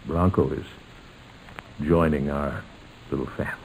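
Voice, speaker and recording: A very low-pitched American family patriarch, middle-aged and tender. He speaks in halting, deliberate phrases, in the style of an old radio comedy, with light noise on the vintage recording. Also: fairly even intonation, creaky, darkish tone, exaggerated voice, low-quality recording. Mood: subdued, wistful. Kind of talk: old-time radio sitcom acting.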